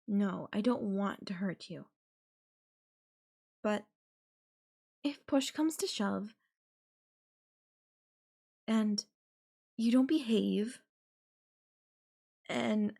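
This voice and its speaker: A woman's voice: mid-range voice, extremely slow, gravelly, pauses often, a little mellow, heavily acted. A woman voicing a possessive yandere girlfriend in an audio roleplay, coaxing and teasing her captive with veiled threat. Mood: quietly threatening. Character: possessive yandere girlfriend, sweetly menacing captor. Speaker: voice actor.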